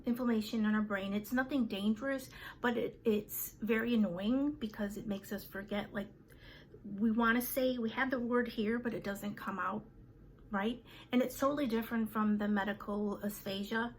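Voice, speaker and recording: A woman in her fifties in a casual vlog, talking to her viewers in a chatty way with many fillers and pauses. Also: medium pitch, slight background noise, rough recording. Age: 50s. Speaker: vlogger. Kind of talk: casual chat to camera.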